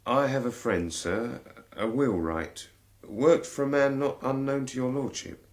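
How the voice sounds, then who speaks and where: An actor playing a deferential, plain-spoken manservant in a period radio drama. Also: male voice, mid-range voice, measured pace, natural voice. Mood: hesitant, respectful. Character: deferential, plain-spoken manservant asking favours, also narrating.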